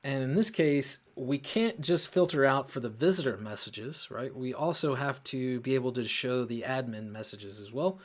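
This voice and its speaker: A man hosting a casual coding walkthrough, thinking aloud in a halting way as he works and often muttering to himself. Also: mid-range voice, expressive. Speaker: host of a coding show.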